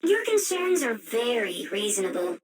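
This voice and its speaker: A woman's voice: fairly high pitch, big pitch swings, normal speed, rough recording. A woman voicing a polite, sweetly insinuating wish-granting sprite in a video game, with a coaxing manner. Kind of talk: scripted video game voice acting.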